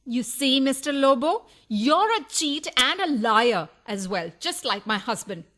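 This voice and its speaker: A sharp-tongued, suspicious wife in a radio farce. She nags and accuses. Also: sits high, lively intonation, unhurried, full character voice. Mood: suspicious, haughty. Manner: accusatory, nagging. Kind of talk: farcical radio play acting.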